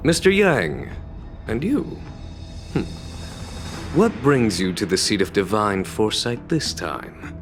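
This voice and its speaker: A man acting as a wise, courteous military commander in a video game, speaking in a formal, measured and deliberate manner. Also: lowish voice, big pitch swings. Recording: background music under the voice.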